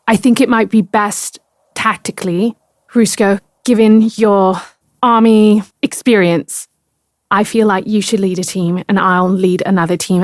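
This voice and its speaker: A woman playing a caring, determined mother and team leader in a fantasy game, speaking in an earnest, measured way. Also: mid-range voice, no room sound, studio quality, close to an everyday voice.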